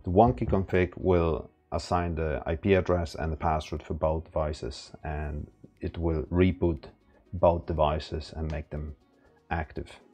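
A man narrating a casual step-by-step product setup tutorial in a plain, halting instructional way. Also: a bass voice, steady tone, a little mellow. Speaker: product demonstrator. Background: background music.

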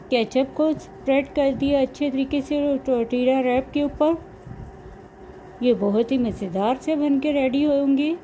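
A woman's voice: sits high, warm tone, low-quality recording. A woman narrating a recipe step by step as a cooking vlog host, in a homemade recording. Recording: clear background noise, homemade recording.

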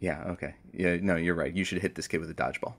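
An actress playing a teasing, irreverent radio producer and sidekick, sardonic in audio drama banter. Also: female voice, very deep voice, fairly even intonation, fast talker, husky. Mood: dry teasing.

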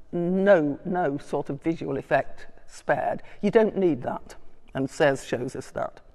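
A woman's voice: low-pitched, darkish tone. Older woman lecturing in an echoing church. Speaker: guest lecturer. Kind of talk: lecture read from notes. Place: large church, indoors. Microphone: far camera. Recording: long church reverb, distant.

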